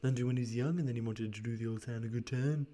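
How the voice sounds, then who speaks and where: A man's voice: mid-range voice, steady tone, fast talker, dark tone, natural voice. A man voicing an eager, persuasive Victorian shop assistant from a storybook, explaining in an emphatic, coaxing way.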